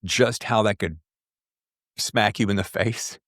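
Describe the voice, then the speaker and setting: An American man hosting a conversational interview, reflective and halting as he circles back through his thoughts. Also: fairly low pitch, fairly bright tone, no echo, clean studio sound. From American South. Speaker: podcast host interviewing an author.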